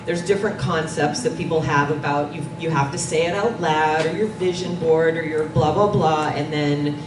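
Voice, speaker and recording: A woman's voice: deep voice, fairly even intonation, moderate pace. A middle-aged woman giving an informal, conversational presentation on a panel in a meeting room. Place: dim conference meeting room. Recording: distant, echoey, noisy room recording.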